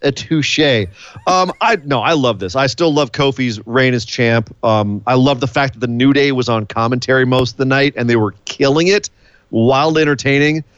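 A man co-hosting a casual wrestling podcast, animated and playful, hyping up each announcement. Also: medium pitch, normal speed, clear, resonant tone, highs cut off. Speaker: podcast co-host.

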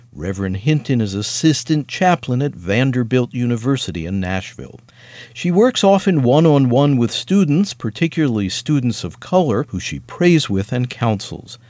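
A man reading scripted narration for a broadcast feature, in a crisp delivery. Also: medium pitch, a little mellow, dry recording, studio quality. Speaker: radio narrator.

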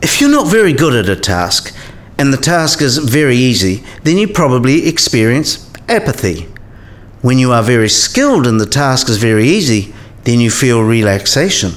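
A man playing a learned, teasing professor in a radio play, deadpan and lecturing. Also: medium pitch, normal speed, close to an everyday voice. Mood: dry, explanatory. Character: teasing, dry-witted male professor, learned and smug. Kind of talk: acted two-person dialogue.

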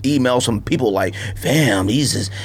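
A man's voice: medium pitch, moderate pace, breathy edge. A man in casual podcast conversation, slangy and emphatic, repeating himself to drive a point home. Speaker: sneaker podcast co-host.

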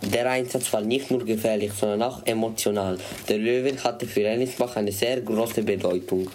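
A man's voice: lowish voice, fairly even intonation, moderate pace, darkish tone, a little hiss or hum. A teenage boy narrating a scripted report as a student reporter.

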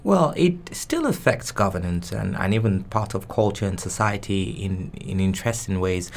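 A man with a lowish voice who grew up speaking Yoruba answers interview questions in English. He thinks aloud, restarting phrases and repeating words as he builds each point. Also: lively intonation, normal speed, a little hiss or hum. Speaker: guest expert.

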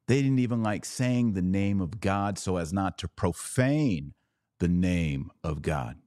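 A man's voice: sits low, lively intonation, measured pace, dark tone, no echo, clean studio sound. A man hosting a livestreamed commentary podcast, talking emphatically with sarcastic, mocking asides. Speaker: podcast host.

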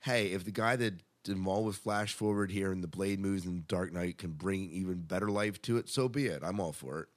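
A man's voice: deep voice, a little fast, hardly any pauses. A man hosting a podcast, chatting casually about movie news and putting questions to his co-host. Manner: conversational, tosses questions to co-host.

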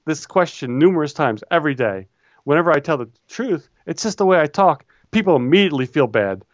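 A man's voice: a little high, no room sound, lo-fi sound. A man co-hosting a casual chat, reading advice letters aloud with easygoing, dry humor. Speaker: podcast co-host.

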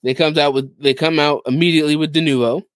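A man's voice: a little high, fairly even intonation, fast, no echo. A man casually explaining gaming news as a podcast co-host.

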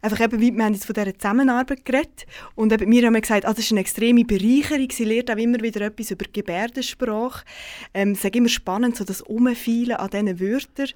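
A woman's voice: on the high side, steady tone, fast talker, darkish tone. A woman hosting a conversation, asking long, loosely phrased questions with many fillers. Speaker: podcast host. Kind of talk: conversational interview.

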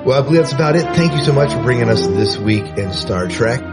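A man's voice: mid-range voice, lively intonation, fast, over loud music. A man co-hosting a sci-fi podcast, joking with his partner and running the segments. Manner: joking, teasing, runs the segments. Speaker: podcast co-host. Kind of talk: casual podcast chat.

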